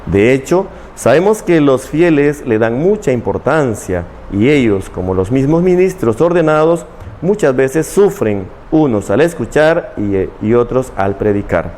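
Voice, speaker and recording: A middle-aged Salvadoran man, a Claretian missionary priest, explaining things in a calm, measured way and often ending with "¿verdad?". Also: medium pitch, slight background noise. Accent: Salvadoran Spanish. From El Salvador, Chalatenango.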